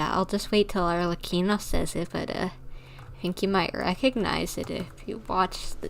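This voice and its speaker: A woman's voice: lowish voice, vocal fry, a little hiss or hum. A woman game streamer giving casual, chatty, playful commentary on a livestream. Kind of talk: casual livestream gameplay commentary, reading dialogue choices aloud.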